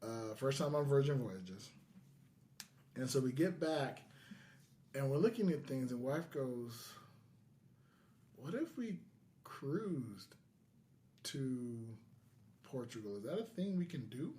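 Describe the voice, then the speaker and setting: A man's voice: mid-range voice, sing-song, takes it slowly, long pauses, mellow, slight background noise. An American man from California talking casually as a podcast host. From California, United States.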